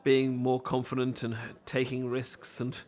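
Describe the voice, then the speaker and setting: A male performer voices an adorkable British man in a romantic ASMR-style roleplay. He is nervous, bookish and apologetic, with a mid-pitched voice. His sentences stammer, stall and wander off into hesitant, flustered rambling. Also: monotone, measured pace, a little mellow, close to an everyday voice. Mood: shy, self-deprecating.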